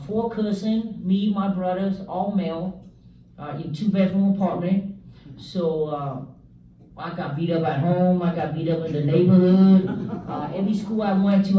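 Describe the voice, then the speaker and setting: A man from South Vietnam, giving a halting church testimony full of fillers and joking asides. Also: sits high, steady tone, dull audio, a little hiss or hum. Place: church hall. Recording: echoey hall, mic from far off.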